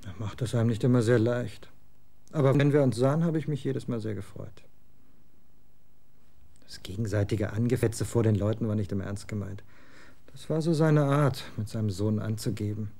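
A scornful young rocker son of twenty, blunt slang, gravelly dark voice; radio play. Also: male voice, on the low side. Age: 20s. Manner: slangy, scornful, quick to get excited. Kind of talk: German radio drama acting.

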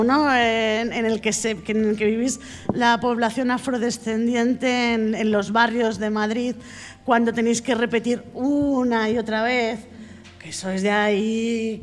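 A woman hosting a live podcast. She speaks earnestly and laughs easily. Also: fairly high pitch. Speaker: podcast host.

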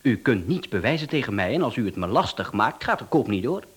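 Cocky, husky professional hitman, lively intonation. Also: mid-range voice, brisk. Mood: businesslike, insistent. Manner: formal, smooth-talking salesman patter, indignant. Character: cocky professional male hitman, businesslike, easily offended. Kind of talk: radio play acting.

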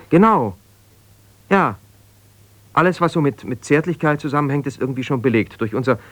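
A defensive husband in a naturalistic 1970s radio play, acted by a man, with a medium-pitched voice in a very dry room. He speaks conversationally and hesitantly, feeling for words as he justifies himself. He sounds wounded and keen to be understood. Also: no room sound, lightly acted. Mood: earnest, searching. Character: defensive, wounded husband pouring out his troubles to a confidante. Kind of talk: naturalistic 1970s German radio drama monologue.

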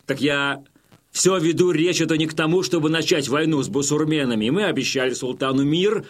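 Actor as sly Cossack chieftain, higher-pitched. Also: male voice, brisk, close to an everyday voice. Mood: sly, humble. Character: wily Cossack chieftain, feigning humility, addressing his warriors. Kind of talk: theatrical audio drama oratory.

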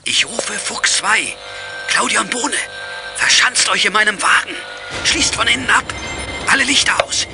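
A man's voice: sits high, animated, unhurried, music in the background. A middle-aged man playing a commanding, concerned father who gives clipped radio orders in a children's radio play. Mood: urgent.

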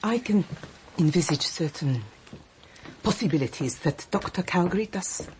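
A grave, guarded woman played by an actress in a radio drama, low in pitch. She chooses words formally and carefully, with clear noise in the background. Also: expressive, normal speed, a little mellow, natural voice.

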